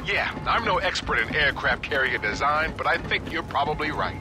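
A man acts as a gruff, tough soldier for hire in a shooter game. His pitch is a little high and moves around a lot, blunt and no-nonsense. Loud music runs behind him. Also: expressive, moderate pace, close to an everyday voice. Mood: focused. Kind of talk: video game voice acting.

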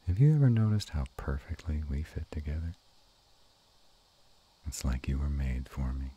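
A man playing an affectionate, bashful, gruff were-dragon lover in an intimate romantic roleplay audio, with a slow, teasing murmur. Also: sing-song, mellow, full character voice. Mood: loving. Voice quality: very deep and husky.

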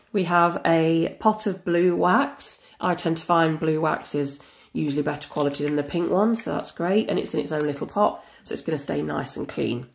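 Woman, hoarse with a cold, low and gravelly, chatty and excitable. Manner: chatty and enthusiastic, frequent fillers. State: croaky from a cold. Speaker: crafting channel host. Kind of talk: casual unboxing chat. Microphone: camera over a tabletop.

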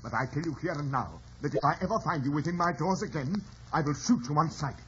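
An actor playing a stern, indignant gentleman, master of the house, formal and stiff, in old-time radio drama style. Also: on the high side, fast, natural voice. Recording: muffled old radio broadcast with hiss.

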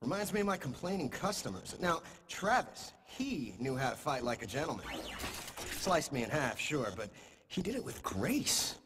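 Theatrical, mock-polite masked villain, reverberant. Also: male voice, fairly high pitch, big pitch swings, moderate pace. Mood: mock-polite taunting. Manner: mock-courteous, taunting. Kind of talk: theatrical video game villain acting. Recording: long hall reverb, loud game noise.

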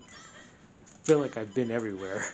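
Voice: medium pitch, a little slow, mellow, a little hiss or hum. A gamer chatting casually while playing, muttering to themselves and swearing freely. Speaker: gamer on a let's play show. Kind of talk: casual gameplay chat. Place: indoors, room with a TV screen. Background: video game music.

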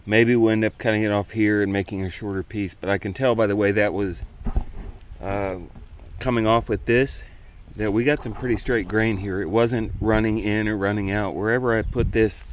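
Middle-aged man, low voice, relaxed plainspoken farm vlogger, dull lo-fi outdoor camera audio. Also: slight background noise. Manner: relaxed, plainspoken, thinking aloud while working. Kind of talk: casual how-to narration. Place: outdoors on a farm yard by a woodshed.

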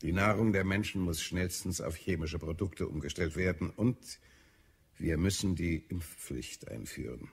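An actor playing a stern, authoritarian official who speaks formally in a radio drama. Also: male voice, deep voice, unhurried, creaky.

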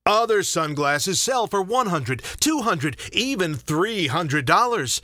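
High, lively male announcer. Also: slow, dry recording, studio quality. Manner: polished announcer delivery. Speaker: voice-over artist. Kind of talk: scripted commercial and instructional narration.

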